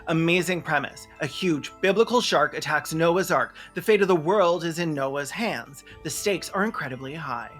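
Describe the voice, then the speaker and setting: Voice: mid-range voice, lively intonation, normal speed, hardly any pauses, a bit bright, faint music behind. A commentator giving a comedic reaction to a movie in a sarcastic, campy, sweary way. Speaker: host.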